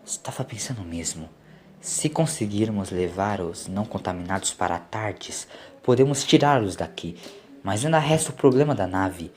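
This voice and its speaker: A man's voice: on the low side, lively intonation, normal speed, natural voice. A Brazilian man voicing a confident, resolute time-travelling doctor in an audio story. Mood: hushed, resolute.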